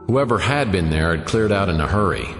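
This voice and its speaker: A man's voice: deep voice, lively intonation, brisk, music in the background. An actor playing a wisecracking hard-boiled male private detective, narrating with dry sarcasm. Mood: wry. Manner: dry, sardonic. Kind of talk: noir first-person radio narration. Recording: echoey with long reverb.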